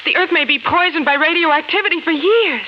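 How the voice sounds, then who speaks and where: An actress playing an anxious, caring wife in a 1950s radio drama, earnest and worried. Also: female voice, very high-pitched, brisk, dull audio. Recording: noisy, crackling 1950s radio recording.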